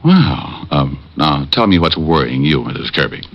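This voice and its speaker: An American man playing a glib, flippant but soft-hearted private detective in 1940s radio drama style. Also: a bass voice, sing-song, normal speed, heavily acted. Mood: concerned. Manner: glib, bantering. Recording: muffled old-time radio recording.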